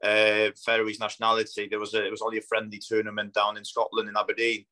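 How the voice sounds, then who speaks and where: A man in his thirties with a fairly deep, forceful voice and little melodic swing. His accent blends Polish with Scandinavian and northwest English, Polish being his first language. He talks as a footballer in a relaxed interview, plainly and conversationally. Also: fast talker. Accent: Polish mixed with Scandinavian and northwest English. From Poland. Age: 30s. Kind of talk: casual interview chat.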